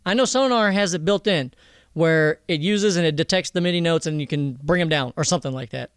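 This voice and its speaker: A man presenting a casual software tutorial in a slangy, jokey, irreverent way, speaking close to a studio microphone. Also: fairly high pitch, fairly quick, clear, resonant tone, no echo.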